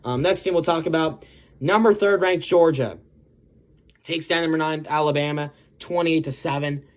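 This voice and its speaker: A man hosting a casual sports recap video, his voice a little hoarse from yelling, rambling and correcting himself as he talks. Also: fairly high pitch, moderate pace. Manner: rambling, self-correcting, lots of "guys" and "um".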